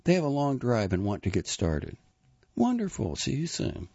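A man voicing an older grandmother in an audiobook story, brisk and flustered, trying to please. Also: medium pitch, wildly swooping pitch, mellow, slightly rough, dry recording, lo-fi sound, voice pushed up, exaggerated voice.

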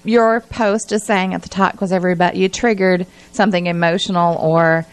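A woman's voice: fairly low pitch, fairly even intonation, fairly quick, smooth. A woman in a casual studio conversation, explaining things as she goes. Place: radio studio.

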